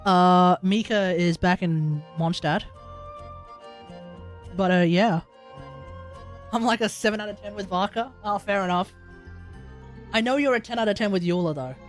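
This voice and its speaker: A man's voice: high-pitched, unhurried, long pauses, faint music behind. A man with an Australian accent giving casual, opinionated, rambling live commentary as he plays a game. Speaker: game streamer.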